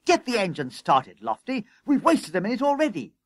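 A man voicing a bossy, frantic leading fireman in a story, shouting urgent orders. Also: sits high, sing-song, quick pace, no echo, studio quality, voice pushed up. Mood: urgent, harrying.

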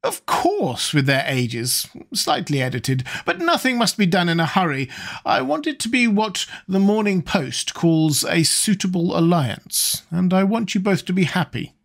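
A man doing a chattering old society lady, raised well above his own voice and swooping widely in pitch. She gushes and gives orders, all in the manner of a storybook reading. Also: very animated, measured pace, creaky, a bit bright, full character voice. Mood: bossy. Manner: gushing, bossy. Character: chattering elderly society hostess.

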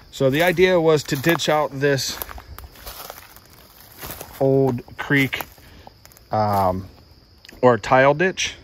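A man's voice: mid-range voice, a little hiss or hum. An American man in his thirties giving a casual video update to viewers, recorded outdoors on a handheld phone. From United States, Ohio. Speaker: outdoors vlogger. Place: outdoors in woods. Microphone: phone held at arm's length.

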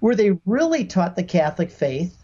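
A man's voice: high-pitched, very animated, normal speed, warm tone, dull audio. An American man in his sixties from Michigan, explaining as a podcast guest with stories and examples, emphatically acting out quoted speech. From Michigan, United States. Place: home room with bookshelves, video call.

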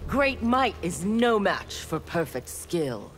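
A woman's voice: lowish voice, very animated, takes it slowly, slight background noise. A woman playing a proud, cold female ninja warrior and engineer in a video game, speaking in curt, threatening lines. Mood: defiant confidence. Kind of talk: video game fight intro dialogue. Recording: music behind.

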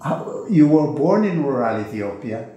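Mellow-voiced male interviewer. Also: medium pitch, big pitch swings, a little slow. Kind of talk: oral history interview questions. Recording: very echoey room with faint music.

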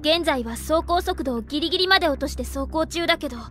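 A brisk, knowing anime schoolgirl, laying out the facts with dry remarks. Her voice sits high, with faint music beneath. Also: a bit bright. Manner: explanatory, dry asides. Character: sharp, know-it-all anime schoolgirl.

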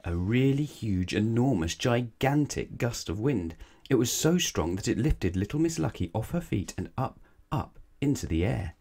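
A man's voice: medium pitch, animated, moderate pace, darkish tone. A man reading a children's bedtime story aloud, dramatically, stretching and stressing words. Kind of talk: expressive read-aloud bedtime narration.

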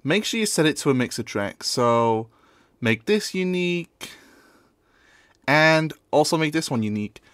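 A man presenting a software tutorial in an offhand, informal way, as a casual voice-over. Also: a little high, expressive, measured pace, clear, resonant tone, dry recording, clean studio sound.

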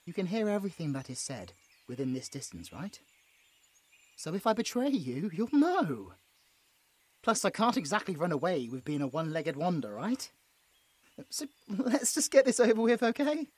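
A man's voice: high-pitched, very animated, moderate pace, a little mellow, breathy edge, no echo, exaggerated voice. A British man playing a nervous, squeamish young man who pleads and stammers his way through a roleplay audio drama. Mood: weary, resigned. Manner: stammering, flustered, exasperated.

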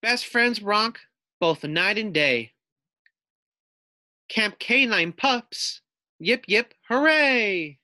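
A man voicing an excitable young dog at summer camp in a storybook, exclaiming dramatically. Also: high voice, drawn-out words, long pauses, no room sound, clean studio sound, pitched above the performer's own voice, exaggerated voice. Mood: cheerful.

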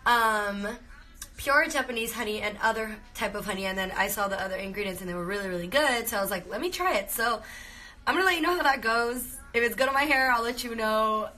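A woman's voice: on the high side, moderate pace, bright, forceful tone. A young woman from Venezuela with a Cuban Spanish accent, chatting casually on a live stream from her bedroom and switching between Spanish and English, with lots of fillers. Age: young adult. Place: bedroom indoors. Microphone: phone held close.

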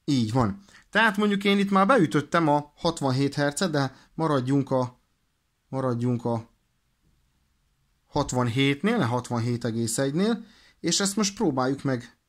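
A man explains things in an informal, halting way, thinking aloud as he presents a hands-on demonstration. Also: mid-range voice, animated. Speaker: presenter of a radio equipment demonstration. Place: indoors at a desk.

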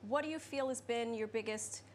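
A woman's voice: on the high side, brisk, a little hiss or hum. A woman in her thirties co-hosting a TV studio show, upbeat and chatty. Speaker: TV co-host. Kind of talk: TV host introduction and chat.

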